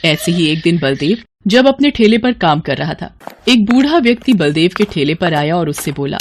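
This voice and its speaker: Lively woman narrating a moral story. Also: lowish voice, normal speed, a little hiss or hum.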